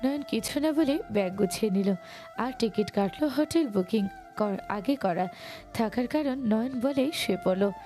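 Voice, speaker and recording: Mellow woman narrating a romantic story. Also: fairly high pitch, animated, moderate pace, soft background music.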